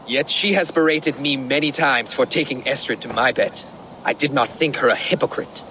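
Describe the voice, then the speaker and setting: A proud, passionate Viking jarl out of a video game, acted by a man. His mid-range voice is formal and solemn, set against clearly audible outdoor noise. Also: medium pitch, moderate pace, sharp tone, natural voice, poor audio. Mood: troubled.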